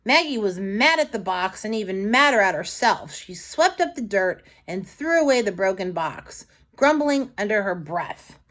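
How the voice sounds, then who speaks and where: A woman reading a story aloud to young children in an expressive, dramatic storybook manner, with asides to her listeners. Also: medium pitch, normal speed, few pauses.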